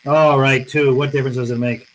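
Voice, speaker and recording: An actor playing a tough, cool private detective in an old-time radio drama, speaking in blunt, clipped slang. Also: male voice, medium pitch, steady tone, a little fast, slight background noise, lightly acted. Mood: firm, businesslike.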